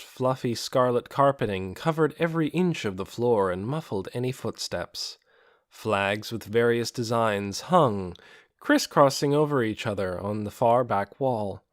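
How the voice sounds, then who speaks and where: A man's voice: mid-range voice, no room sound, studio quality. A man narrating a fantasy audiobook in a scripted, storytelling style.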